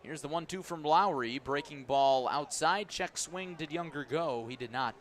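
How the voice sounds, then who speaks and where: A man doing live baseball play-by-play on the radio, mixing clipped pitch calls with conversational asides. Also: moderate pace. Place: outdoor baseball stadium. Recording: clear outdoor stadium ambient noise. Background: outdoor ballpark ambience.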